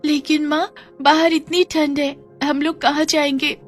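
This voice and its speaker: A woman's voice: high voice, flat delivery, unhurried, soft background music, voice pushed up. A woman voicing an innocent, tender five-year-old girl with a childlike, pleading manner in a cartoon story. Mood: upset, worried.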